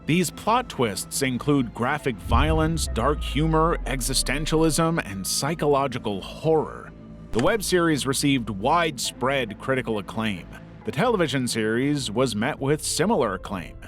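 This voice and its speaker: Man narrating with lively pitch over music. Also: a little slow. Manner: wry, conversational asides. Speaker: narrator of internet horror explainer videos. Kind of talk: scripted narration.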